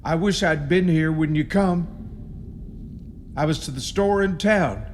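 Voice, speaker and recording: Male actor as spooky country tomb keeper for radio horror; unhurried, folksy, ominous, fairly high voice in long reverb. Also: natural voice. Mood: grave, warning. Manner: halting, ominous, folksy storytelling. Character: eerie rural male caretaker of a haunted tomb. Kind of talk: radio play horror storytelling. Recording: reverberant radio drama, audible background noise.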